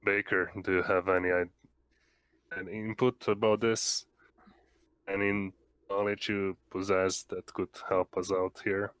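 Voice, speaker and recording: A man with a low, smooth voice asks questions as a roleplaying character. He feels his way through the wording, stopping and restarting. The sound is dry, with no room to it. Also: little pitch movement, a bit bright, dry recording. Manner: halting, searching for words. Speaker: tabletop roleplaying player.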